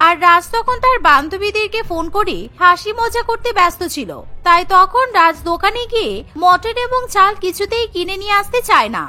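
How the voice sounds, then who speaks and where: Very high, bright female cartoon storyteller. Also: expressive, fairly quick. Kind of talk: scripted cartoon story narration.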